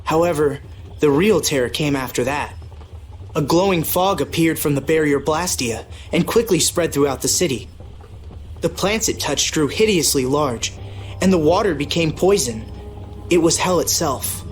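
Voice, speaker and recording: A man playing a gentle, courteous young nobleman in a video game, speaking formally and earnestly in measured phrases. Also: fairly high pitch, lively intonation, faint music behind, natural voice. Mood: grave, earnest. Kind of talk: English video game dub.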